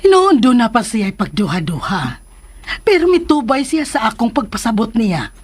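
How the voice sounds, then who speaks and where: A woman's voice: fairly high pitch, big pitch swings, moderate pace. A woman with a Filipino accent playing a supportive, probing mother of a grown son in a radio drama. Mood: probing, encouraging. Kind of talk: Philippine radio drama acting. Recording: faint noise and ambience.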